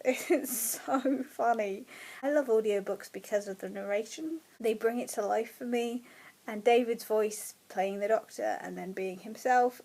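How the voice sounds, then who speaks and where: Chatty woman with a bright, fairly high voice. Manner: chatty, enthusiastic, laughs often. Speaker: book vlogger. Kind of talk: casual chat to camera.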